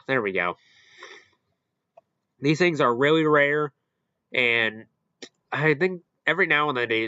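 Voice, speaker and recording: A man hosting a casual, unscripted ranking commentary, halting and rambling as he corrects himself along the way. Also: medium pitch, sharp tone, no room sound, lo-fi sound. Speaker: video host ranking products.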